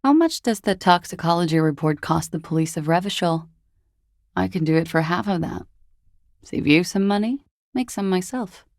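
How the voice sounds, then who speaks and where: A woman playing a jaded, evasive young disco dancer in a video game, talking in a languid, wry and guarded way with trailing pauses. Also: fairly low pitch, animated, darkish tone, no echo, clean studio sound. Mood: dry sarcasm. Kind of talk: video game character dialogue.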